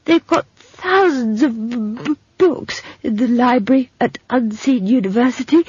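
Woman voicing a high-pitched, stuttering, earnest young lad. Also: unhurried, darkish tone, husky, no echo, lo-fi sound. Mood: earnest, halting. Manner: heavy stutter on consonants, gulping for air. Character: earnest, dreamy, lanky young wizard's apprentice lad. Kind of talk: audiobook character voice.

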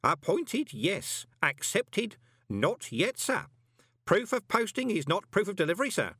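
A man voicing an obsequious, anxious old postman in an audiobook, deferential and folksy, with a hoarse edge. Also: high voice, wildly swooping pitch, a little slow, fairly bright tone, no room sound, clean studio sound, pitched above the performer's own voice, exaggerated voice. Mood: deferential, pious. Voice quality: hoarse, whispery when urgent. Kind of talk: audiobook character voice.

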